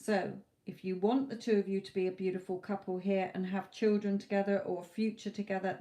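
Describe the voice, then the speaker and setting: A woman giving live tarot readings to viewers, talking reassuringly, her voice going a little hoarse from hours of talking. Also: fairly low pitch, dark tone. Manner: reassuring, calls viewers "my lovely". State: losing her voice, a bit hoarse. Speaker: tarot reader.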